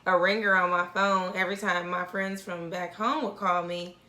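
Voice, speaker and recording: A woman co-hosting a podcast, telling her side of the story in casual chat, laughing often and dropping softer when she reflects. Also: on the low side, fairly even intonation, normal speed. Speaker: podcast co-host. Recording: roomy echo.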